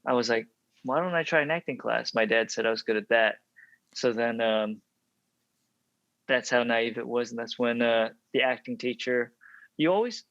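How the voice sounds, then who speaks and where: A young adult man from Texas, an actor and podcast guest, telling stories in a relaxed interview, reflective and full of ums and restarts. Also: medium pitch, no echo. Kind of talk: casual interview storytelling. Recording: dry, slightly dull sound.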